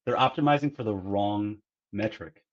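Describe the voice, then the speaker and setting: A man with a lowish voice talks through points casually and analytically as a podcast co-host. The sound is a little muffled and lo-fi. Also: measured pace. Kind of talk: casual discussion. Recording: slightly dull, lo-fi audio.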